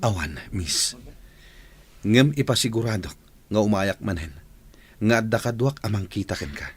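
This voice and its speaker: A man playing a flustered, insistent engineer in a radio drama, speaking haltingly and dramatically with an Ilocano accent. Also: fairly low pitch, expressive, slight background noise, close to an everyday voice.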